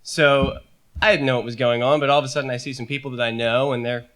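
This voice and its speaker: A man's voice: medium pitch, flat delivery, quick pace, fairly bright tone. A young man, a student on a panel, telling a story in an earnest, hesitant way.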